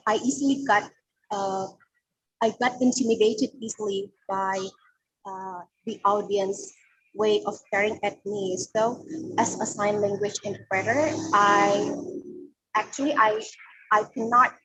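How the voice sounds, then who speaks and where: A woman's voice: mid-range voice, a bit bright, husky, rough recording. Woman, 20s, hesitant, many fillers, video call from a cafe. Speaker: webinar participant asking a question.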